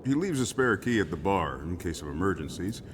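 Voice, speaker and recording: A man's voice: deep voice, big pitch swings, a little fast, background noise. An actor playing a genial, world-weary small-town male barkeeper in a video game drama, folksy, easygoing and wry. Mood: helpful, guarded.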